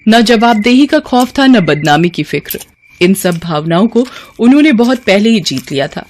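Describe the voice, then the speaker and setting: A man's voice: high voice, animated, normal speed, a little hiss or hum. A man narrating a scripted story as a storyteller.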